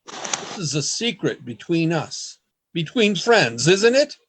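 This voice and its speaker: An actor in a radio play playing an earnest, desperate man in love, with a husky voice and a dramatic, pleading delivery. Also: on the high side, sing-song, slow, a bit bright, slight background noise, exaggerated voice. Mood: anxious. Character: anguished lovesick man, earnest, desperate, hiding among others.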